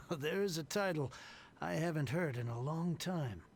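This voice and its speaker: A grouchy older book collector from a game, his voice gravelly and a touch high for his age. He grumbles and laments with theatrical self-pity. Faint noise sits under the voice. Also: animated, unhurried. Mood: admiring. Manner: gruff, dramatic, self-pitying. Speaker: game character.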